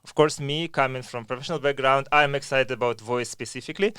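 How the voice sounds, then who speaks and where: A man with phrasing, a telecom expert explaining in measured tones on a podcast. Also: medium pitch, sharp tone, clear, resonant tone, dry recording. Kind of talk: conversational podcast discussion.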